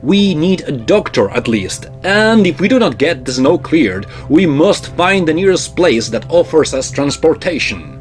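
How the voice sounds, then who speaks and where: A man playing an earnest, resolute 1850s gentleman in a period audio drama, speaking formally and gallantly. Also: on the high side, very animated, a little slow, few pauses, soft background music. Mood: urgent, determined.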